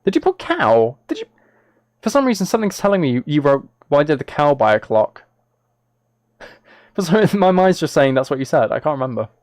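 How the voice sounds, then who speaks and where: A man's voice: fairly high pitch, very animated, a little fast, pauses often, a little mellow, no room sound, clean studio sound. A man live streaming, talking casually and a little hesitantly to his viewers as he reads chat and reacts.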